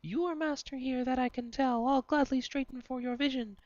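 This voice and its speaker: Flighty marsh-light spirit, female reader: deferential, lilting verse, highish voice, slightly muffled recording. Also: fairly even intonation, moderate pace, a little mellow, natural voice. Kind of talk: amateur dramatic reading of verse. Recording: slightly dull, lo-fi sound.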